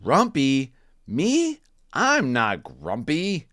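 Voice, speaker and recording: A man voicing a grumpy, indignant storybook monkey with emphatic, drawn-out words. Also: medium pitch, wildly swooping pitch, fairly bright tone, no echo, clean studio sound, pitched above the performer's own voice, exaggerated voice. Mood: indignant, defensive. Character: grumpy male monkey loudly denying his bad mood.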